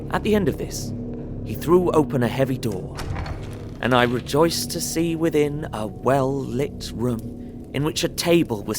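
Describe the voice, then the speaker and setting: A man playing an earnest young solicitor, narrating his travel diary in a measured, descriptive way for an audio drama. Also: medium pitch, natural voice. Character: young English solicitor recounting his journey, earnest, observant. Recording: prominent music under voice. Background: music.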